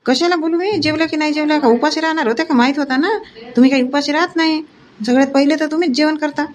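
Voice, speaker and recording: A woman acts out a middle-aged, nagging village wife for a cartoon comedy. She speaks rapidly in a slightly high voice, in homely rural Vidarbha Marathi with a sharp tongue. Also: lightly acted. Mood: scolding.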